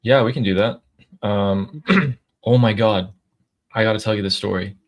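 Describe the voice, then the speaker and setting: A man's voice: fairly low pitch, moderate pace. A man with an American accent, co-hosting and chatting casually, telling anecdotes informally and acting out quoted remarks. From United States. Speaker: co-host.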